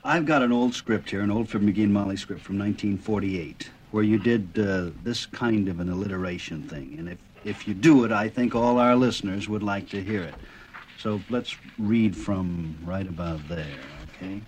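A man's voice: fairly low pitch, normal speed, slight background noise. A man interviewing guests casually as a radio host.

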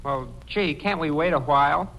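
A man's voice: fairly high pitch, normal speed, a bit bright. A man playing a brash, lovestruck teenage nephew in old radio comedy, boyish and earnest. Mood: exasperated, hesitant. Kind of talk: 1940s radio comedy acting. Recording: slightly noisy vintage radio broadcast.

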